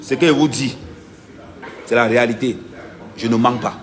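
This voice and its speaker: A man's voice: mid-range voice, steady tone, moderate pace. A man, an activist vlogger giving an insistent, emphatic first-person account and repeating his key points.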